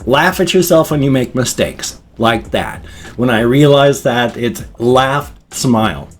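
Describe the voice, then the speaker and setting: A man's voice: mid-range voice, a little slow, a little hiss or hum. A man in his fifties vlogging from home at his desk mic, rambling and laughing at himself. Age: 50s. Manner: rambling, self-deprecating, chuckling. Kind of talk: casual chat. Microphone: desk microphone.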